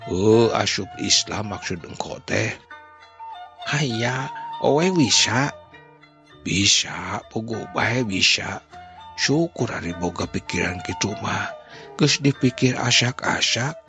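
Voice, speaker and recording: A man voicing an older male village cleric who speaks calmly and plainly, over background music. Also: on the low side, slow, heavily acted. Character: older male village cleric, patient, worried about a debt. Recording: music playing underneath.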